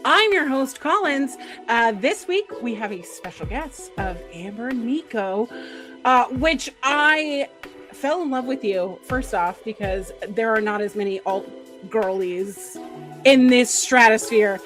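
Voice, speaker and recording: A woman with a New Jersey accent hosting a casual podcast over a video call, enthusiastic and quick to laugh. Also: a little high, expressive, over music. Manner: enthusiastic, laughing, slow and drawn-out. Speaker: podcast host. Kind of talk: casual chat.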